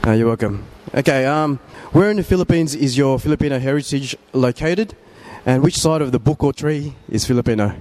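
A man's voice: mid-range voice, fairly quick, a little mellow. A man hosting a radio sports interview, putting prepared questions to a guest. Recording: faint background noise.

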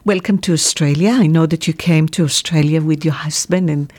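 A woman hosting a radio interview, her voice sitting a little low. She frames each question with a long setup before she gets to the point. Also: a little fast, darkish tone.

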